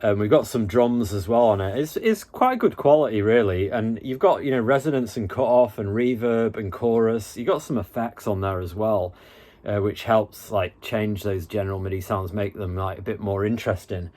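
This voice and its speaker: A man hosting an informal hands-on tutorial, talking in a laid-back, halting, colloquial way. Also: on the low side, darkish tone. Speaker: tutorial host.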